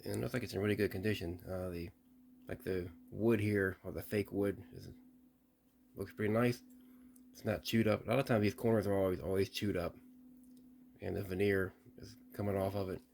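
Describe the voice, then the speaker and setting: Low-voiced man calmly testing old radio gear. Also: fairly quick, vocal fry, slight background noise. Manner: plain-spoken, thinking aloud with restarts. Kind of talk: casual unboxing walkthrough.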